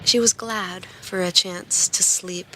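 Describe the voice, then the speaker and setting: A woman's voice: lowish voice, fairly even intonation, slow pace, noisy background. A woman reading scripted story narration as a podcast narrator and host.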